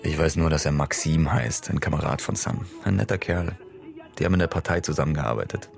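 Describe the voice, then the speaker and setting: A blunt working-class comrade in a radio crime drama. His voice is very low and full of gravel, and he talks in terse, gloomy, colloquial phrases over faint music. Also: lively intonation, a little fast, heavily acted. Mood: matter-of-fact.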